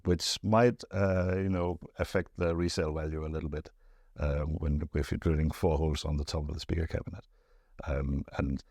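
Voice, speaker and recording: A man with a deep, low voice talks through a technical subject like an expert answering questions. His delivery is casual and thoughtful, often pausing and repeating words as he works out the phrasing. The sound is close and dry, with very little room in it. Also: mellow, no room sound.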